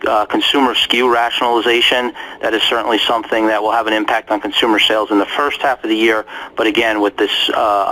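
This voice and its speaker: A man, a finance executive, giving measured explanations with small asides on an earnings call. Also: lowish voice, bright, forceful tone. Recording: noisy conference call audio.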